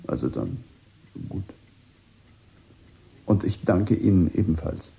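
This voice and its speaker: An actor playing a composed, courteous man who probes discreetly in a German radio drama, speaking in a measured, polite way. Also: low-pitched, very animated, vocal fry, dark tone, husky, exaggerated voice. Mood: polite, careful.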